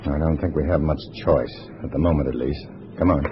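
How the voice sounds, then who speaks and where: An actor playing a cool undercover American agent posing as a comrade, colloquial and composed, in 1950s radio drama style. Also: male voice, a bass voice, fairly even intonation, a little fast. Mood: cool, wry. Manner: colloquial, hard-boiled, composed. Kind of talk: 1950s radio drama acting with first-person narration. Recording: dull, noisy vintage radio recording.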